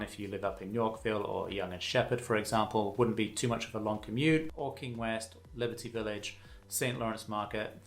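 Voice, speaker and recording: A man in his forties from England, with an English accent and a slight Canadian twang, giving casual, friendly advice to camera as a real estate broker. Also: lowish voice. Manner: friendly and chatty, with some fillers.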